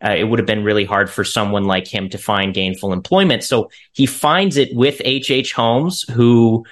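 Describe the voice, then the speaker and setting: A man's voice: on the low side, fairly even intonation, moderate pace, fairly bright tone. A man, an actor, answering conversationally as an interview guest.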